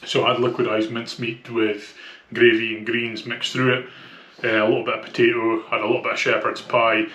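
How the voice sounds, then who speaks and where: A man's voice: mid-range voice, level tone, brisk, pushed and bright, lo-fi sound. A man with a thick Scottish accent talking casually and candidly in a personal vlog, with hesitant, conversational phrasing. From Scotland. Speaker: vlogger. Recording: echoey room.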